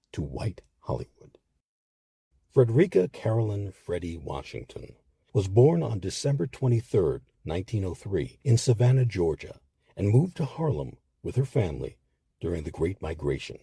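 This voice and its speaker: A man narrating scripted history as a documentary host, with measured, emphatic storytelling. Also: sits low, vocal fry, dark tone, husky, dry recording, clean studio sound.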